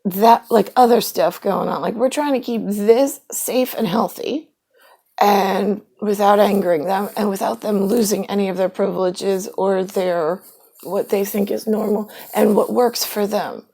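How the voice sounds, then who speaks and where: A woman's voice: medium pitch, fairly even intonation, unhurried, creaky, mellow, breathy edge. A woman in a rambling monologue, quoting her own conversations with sudden bursts.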